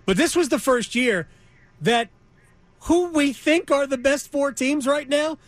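A man's voice: very high voice, measured pace, no room sound. A man hosting a sports talk radio show in a casual, emphatic and opinionated way on a studio microphone. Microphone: close studio microphone.

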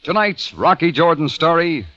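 A man's voice: fairly high pitch, big pitch swings, moderate pace, pushed and bright, slight background noise. An American man working as a 1950s radio announcer, reading scripted sponsor lines in a polished, dramatic manner. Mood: grand. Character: grand radio show announcer. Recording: muffled vintage 1950s radio, music behind.

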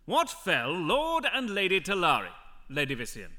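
An actor playing a pompous, imperious young judge in a fantasy audio drama, commanding and officious. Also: male voice, sits high, wildly swooping pitch, normal speed, sharp tone, exaggerated voice.

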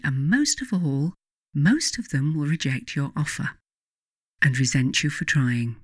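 A British woman reads scripted self-help narration as the author of the text. Her delivery is measured and deliberate, with pauses between phrases. The sound is dry, with hardly any room to it. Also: low-pitched, no echo. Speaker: author reading own book.

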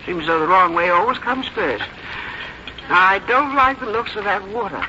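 Brisk older American farm mother, acted by a woman, old radio. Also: medium pitch, pushed and bright, lightly acted. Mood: brisk, fussy. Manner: homespun, matter-of-fact rural turns of phrase. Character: brisk, fussy older farm mother, fond but bossy. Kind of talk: 1950s American radio drama acting. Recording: muffled, noisy old radio broadcast recording.

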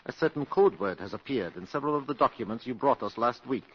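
An actor playing an anxious, insistent colonel buying secrets, speaking formally and urgently in a 1950s radio drama. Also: male voice, mid-range voice, lively intonation, fast, slightly rough. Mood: tense, insistent. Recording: dull 1950s radio broadcast.

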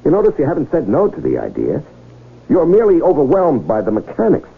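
A sly, theatrical swindler from a vintage radio play. His husky, gravelly voice swoops wildly up and down as he wheedles. The sound is thin and phone-like, like an old broadcast. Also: a little high, wildly swooping pitch, fast talker, full character voice. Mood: persuasive. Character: smooth-talking male con man, theatrical, persuasive. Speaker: con man character. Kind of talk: 1970s radio drama acting.

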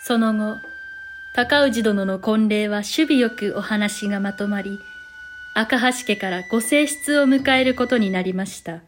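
A woman's voice: mid-range voice, a little slow, soft background music, lightly acted. A woman playing a composed storyteller of a samurai chronicle, narrating in polite, formal, old-fashioned phrasing. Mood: stately composure. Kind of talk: scripted period drama narration.

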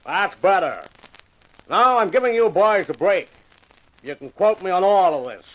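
An actor playing a gruff, mocking homicide inspector in 1950s radio drama style. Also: male voice, high voice, lively intonation, moderate pace, rough recording. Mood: loud, taking charge. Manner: mocking, heavily sarcastic, needling. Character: gruff sarcastic homicide police inspector, taunting.

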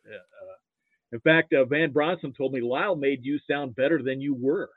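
An American man from Nebraska talking casually about sports as a podcast guest, halting and opinionated. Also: medium pitch, no room sound. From Nebraska, United States. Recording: thin phone-like call audio.

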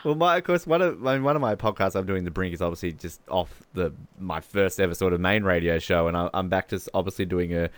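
A man's voice: deep voice, fast talker, few pauses, smooth, no room sound. A man co-hosting a podcast, chatting casually about films in a rambling, jokey, opinionated way. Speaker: podcast co-host. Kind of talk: casual film commentary chat.

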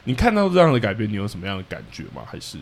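A man's voice: lowish voice, wildly swooping pitch, very fast, creaky. A man with a Taiwanese Mandarin accent hosting a podcast, putting questions to guests in a casual conversational way. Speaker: podcast host interviewing guests.

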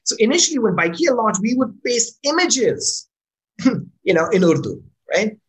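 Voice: mid-range voice, a little slow. A startup founder from Pakistan, answering interview questions casually and often checking in with "right?". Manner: frequent "right?" tag questions, restarts words when excited.

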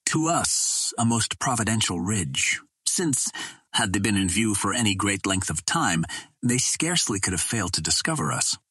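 A man narrating a classic adventure novel from a script, with a measured, literary storytelling delivery. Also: on the low side, very animated, sharp tone, slightly rough, no echo. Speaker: audiobook narrator.

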